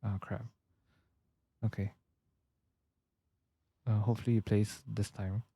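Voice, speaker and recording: Mellow-voiced man, casual game streamer. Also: lowish voice, level tone, slow, vocal fry, husky, no room sound. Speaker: livestreamer.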